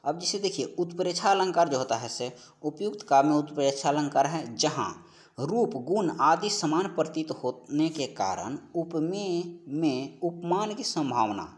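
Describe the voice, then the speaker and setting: A man's voice: fairly high pitch, lively intonation, normal speed. Man teaching, repeating his key points. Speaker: teacher of Hindi for exam preparation. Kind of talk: instructional lesson, working through exam questions.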